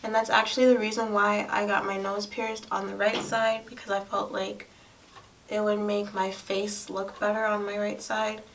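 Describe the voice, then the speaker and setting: A soft-spoken young woman with a girlish voice, chatting casually and candidly to camera about personal things. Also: medium pitch, level tone, normal speed, vocal fry. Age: young adult. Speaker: lifestyle vlogger. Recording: distant mic, faint room noise.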